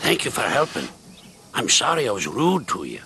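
Man doing a gruff steam engine, boastful, blunt, high voice, lively. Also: a little slow, a little hiss or hum, full character voice. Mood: sheepish. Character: grumpy boastful stubborn male steam engine.

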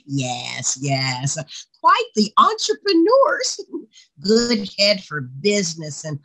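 A woman's voice: lowish voice, wildly swooping pitch, slow, a bit bright, breathy edge, full character voice, low-quality recording. A woman playing a chatty, sardonic secretary with folksy endearments in an online play reading. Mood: chatty, wry. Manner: folksy, sardonic, calls people hon and sweetie. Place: indoors on a video call. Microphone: video call microphone.

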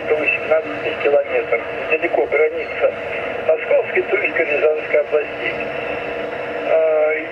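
A man working as an amateur radio operator, speaking courteously in formulaic phrases and spelled-out callsigns over a two-way radio. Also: sits high. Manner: courteous, formulaic well-wishing. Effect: two-way radio transmission. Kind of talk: ham radio contact, spelling out callsigns phonetically. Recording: muffled, very noisy ham radio reception.

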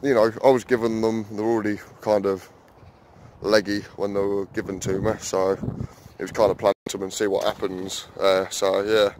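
Low-voiced man, rambling vlogger, chatty, filler-heavy, faint outdoor hum behind. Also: level tone, normal speed, a little mellow. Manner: rambling, chatty, many fillers, keeps calling viewers guys. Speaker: allotment vlogger. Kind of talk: casual walk-and-talk vlog. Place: outdoor allotment, then small garden shed.

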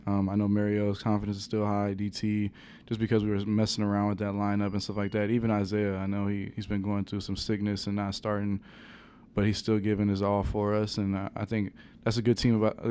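A young man, a college basketball player, answering questions at a post-game press conference in a halting, soft-spoken way with many fillers, into a table microphone. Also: fairly low pitch, slight background noise. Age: young adult. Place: press conference room with sponsor backdrop.

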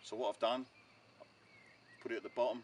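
A middle-aged man chatting casually and haltingly as he explains his fishing gear, repeating words as he goes. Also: medium pitch, little pitch movement. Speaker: angler demonstrating his fishing rig. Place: outdoors on a pebbly shore beside bare bushes. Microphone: camera a few metres away. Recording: noisy outdoor recording, distant from mic.

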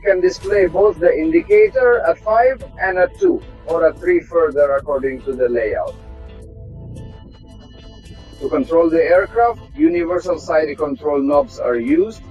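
A middle-aged man, a test pilot from Russia, giving a measured, technical tour of an aircraft cockpit. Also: fairly high pitch. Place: aircraft cockpit inside a hangar. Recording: slightly dull sound, faint music behind.

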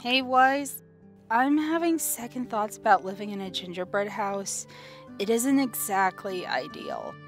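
Woman acting deadpan young woman, comic dub, unimpressed, matter-of-fact, medium pitch. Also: measured pace, faint music behind.

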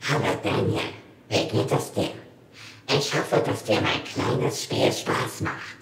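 A man's voice: lowish voice, slow, over a noisy crowd. A man playing an anonymous captor in a horror audio drama, taunting with sinister politeness in a whispery, toneless voice. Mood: taunting menace. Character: menacing anonymous captor, slow, taunting tormentor. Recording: echoey room, faint background noise.

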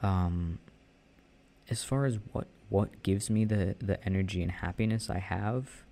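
A young man answering listener questions in a casual, soft-spoken, hesitant way, with many fillers and restarts. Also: low-pitched, unhurried. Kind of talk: casual Q&A chat.

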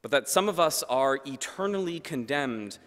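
A man in his thirties preaching a sermon at a lectern microphone in a large church, measured and earnest, letting his phrase ends fall low and quiet. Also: lively intonation. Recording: long church reverb.

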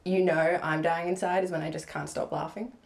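A woman's voice: lowish voice, a little fast, vocal fry. A young woman in her twenties talking casually as a podcast guest, laughing easily, recorded close on a studio mic at home. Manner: laughs easily, relaxed and chatty. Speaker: guest, film crew camera assistant. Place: home room with warm lamp, indoors. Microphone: large podcast mic on boom arm.

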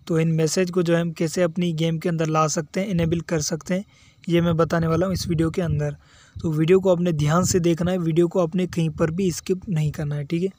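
A man's voice: a little high, little pitch movement, a little fast, dark tone. A man hosting a step-by-step mobile game tutorial as a voice-over, instructional and often repeating the same verbal tags. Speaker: tutorial video host.

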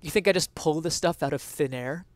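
Young male streamer, higher-voiced, theatrical and mock-indignant essay reading, dry close headset sound. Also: brisk, dry recording. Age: young adult. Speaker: streamer reading an article aloud. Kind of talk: dramatic reading of an opinion essay. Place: small indoor room at home.

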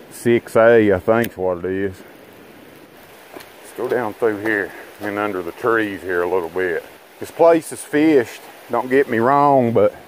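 A man's voice: fairly low pitch, a little hiss or hum, rough recording. A man giving rambling, folksy commentary to his viewers while fishing from a rocky riverbank, recorded on a body-worn camera. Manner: folksy, rambling, talks to viewers as guys and boys. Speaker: fishing vlogger. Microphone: body-worn action camera.

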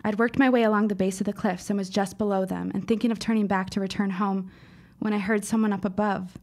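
A woman plays a bookish teenage girl in a radio drama. Her voice has a noticeable creak, and she speaks in wordy, formal phrases with earnest insistence. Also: medium pitch, little pitch movement, quick pace, a little mellow, close to an everyday voice. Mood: earnest, dramatic. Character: precocious, earnest fifteen-year-old girl writer, shaken but insistent. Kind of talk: audio drama acting.

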